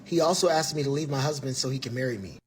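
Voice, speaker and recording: A man reading a story post aloud quickly, with dramatic asides between lines. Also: mid-range voice, slight background noise, voice pushed up, lightly acted.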